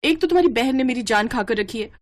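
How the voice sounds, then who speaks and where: A woman voicing a frustrated, sharp-tongued young new bride in a story, complaining and scolding. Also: fairly high pitch, expressive, fast, lightly acted. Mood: annoyed.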